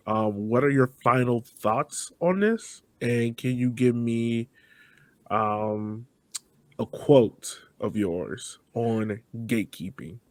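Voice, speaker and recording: A man's voice: mid-range voice, no room sound. A man speaking casually as the host of a podcast.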